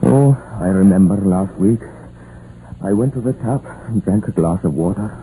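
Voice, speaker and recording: An actor playing a stubborn, loyal young Welsh miner in a 1950s radio drama, wistful and argumentative, with a Welsh accent. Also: male voice, deep voice, moderate pace, mellow, lightly acted. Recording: noisy, muffled vintage radio recording.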